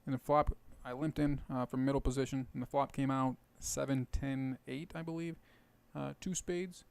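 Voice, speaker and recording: A man with a tired, hoarse voice and some gravel in it talks through his poker hands. He explains his strategy casually, thinking aloud with plenty of fillers. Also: medium pitch, steady tone, normal speed, a little mellow, no room sound. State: hoarse, rough voice. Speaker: poker video host. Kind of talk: casual poker commentary over gameplay.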